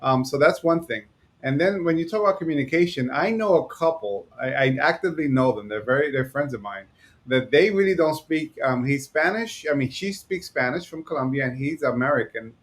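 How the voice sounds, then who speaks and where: A man from New York who owns a digital agency, talking fast and rambling in a casual chat. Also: medium pitch, expressive. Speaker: digital agency owner, co-host.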